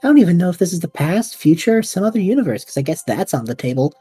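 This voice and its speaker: A woman's voice: lowish voice, big pitch swings, quick pace, mellow, breathy edge, no echo, exaggerated voice. A woman voicing a restless young male time traveler who whines and rambles dramatically, in an audio drama. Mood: bored whining.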